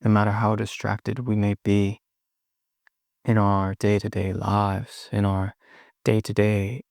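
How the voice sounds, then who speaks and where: A man guides a meditation in a low voice with plenty of gravelly fry. His delivery is very slow and soothing, broken into short phrases with long pauses between them. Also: monotone, a little mellow, no echo, studio quality.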